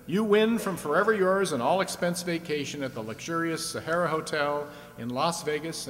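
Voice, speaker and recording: A man's voice: fairly high pitch, a bit bright, lightly acted. A man playing a genial, talkative 1950s radio game show host with folksy showman's patter. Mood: congratulatory. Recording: reverberant hall with faint noise.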